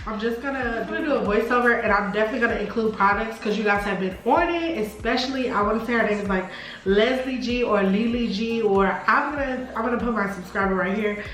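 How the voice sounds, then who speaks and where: A woman's voice: fairly high pitch, fast, a bit bright. A woman, a beauty YouTuber, talking chattily and directly to her viewers in a casual makeup tutorial voiceover, her voice congested and nearly gone. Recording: very echoey room, faint music.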